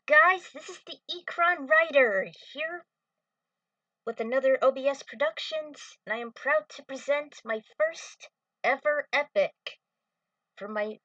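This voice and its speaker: A woman casually introducing her own fan fiction in a halting, phrase-by-phrase way. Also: high-pitched, bright, forceful tone, no echo, far from the mic, dull audio.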